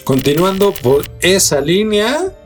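A Mexican man with a somewhat high-pitched voice co-hosts a mystery news program. He reads scripted items and slips into informal, slangy conversation. Music plays behind him. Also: sing-song, slow. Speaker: news co-host. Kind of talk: scripted mystery news narration with casual banter.

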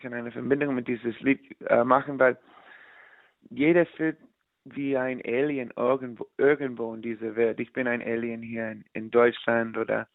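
A man from the United States, a musician, answering in halting German and slipping into English. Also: medium pitch, flat delivery, no room sound. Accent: American. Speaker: musician guest. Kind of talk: casual interview in learner German. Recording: dry, phone-like line.

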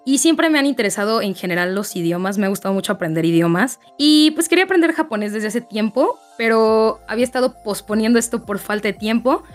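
A woman in her twenties with a Mexican accent, a language teacher answering gently and concisely. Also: on the high side, lively intonation, quick pace, few pauses, soft background music. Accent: Mexican Spanish. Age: 20s. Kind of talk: casual group chat.

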